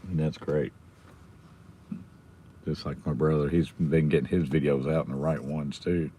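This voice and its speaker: A middle-aged man with a hoarse, raspy voice, casually chatting with viewers on his live stream. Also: extremely low pitch, fairly even intonation, moderate pace, warm tone, a little hiss or hum. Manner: rambling, chatting with viewers by name.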